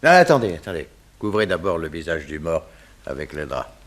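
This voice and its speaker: A man's voice: sits low, big pitch swings, a little fast, a little hiss or hum. A man playing an official, terse coroner who speaks formally in a radio drama. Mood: authoritative. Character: brisk, official male coroner giving orders. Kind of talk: old French radio drama acting.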